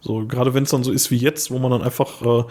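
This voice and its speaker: A slightly hoarse young man co-hosting a casual podcast, rambling with lots of fillers and restarts. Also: medium pitch, fairly even intonation, fast, a little mellow. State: a little hoarse. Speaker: podcast co-host. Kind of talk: casual chat.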